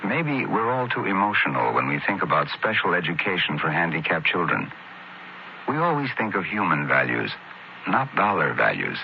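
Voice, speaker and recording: Male PSA announcer, very low voice, measured and plain-spoken, dull old radio with faint noise. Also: big pitch swings, gravelly. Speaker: public service announcer. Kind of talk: scripted persuasive public service message.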